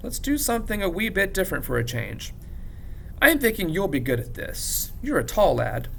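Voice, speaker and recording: A man's voice: high-pitched, sing-song, moderate pace, creaky, a little hiss or hum, pitched above the performer's own voice, heavily acted. A man voicing a friendly, encouraging Irish aid worker in a story read aloud, with folksy delivery. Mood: friendly, coaxing.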